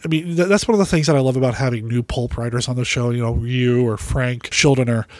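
A man hosting a podcast, talking in a relaxed, enthusiastic way and often trailing off. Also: mid-range voice, fast, no echo. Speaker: podcast host. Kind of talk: casual podcast chat.

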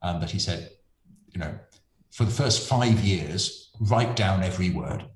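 A man's voice: sits low, animated, unhurried, reverberant, low-quality recording. A man, a friar and preacher, speaking thoughtfully in an interview, hesitant, with many ums and restarts. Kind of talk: reflective interview conversation.

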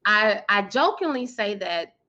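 A woman in her thirties or forties, guest in an interview, talking energetically and emphatically with a loud, powerful voice and frequent laughter. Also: mid-range voice, sing-song, a little slow, lo-fi sound. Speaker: guest, PR firm founder and speaker.

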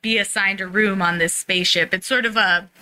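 A woman's voice: mid-range voice, fairly even intonation, fairly quick, bright, forceful tone. An American woman from San Francisco talking reflectively and at length as an interview guest, in a conversational way with casual filler phrases. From San Francisco, USA. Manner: talkative, reflective, peppered with "like" and "you know". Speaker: interview guest, social worker and radio playwright. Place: recording studio.

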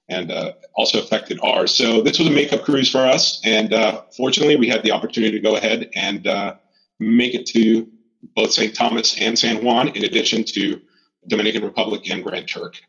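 A man from Miami, a guest on a podcast, talking in a relaxed way with lots of um and uh. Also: on the low side, normal speed, gravelly, lo-fi sound. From Miami, Florida. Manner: relaxed, many fillers. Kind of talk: casual interview.

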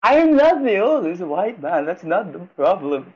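Brief: insistent Trinidadian man, higher voice that swings a lot, muffled recording. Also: very animated, normal speed, husky. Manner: insistent, repeats himself. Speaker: podcast co-host. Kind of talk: casual chat.

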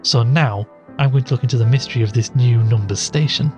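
A man playing a podcast host who investigates mysteries, presenting in a measured, explanatory way in an audio drama. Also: medium pitch, music in the background, lightly acted. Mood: measured, intrigued.

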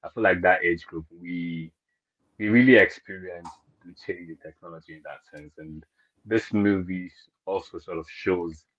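A man's voice: deep voice, normal speed, fairly bright tone. A man from Nigeria, hosting a podcast chat in a thoughtful, hedging way full of fillers. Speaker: podcast host. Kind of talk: casual chat. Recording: dull lo-fi audio.

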